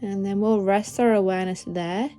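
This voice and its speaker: A woman leading a guided meditation in a gentle, soothing, unhurried manner of instruction. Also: medium pitch, fairly even intonation, faint music behind. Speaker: meditation guide, podcast host.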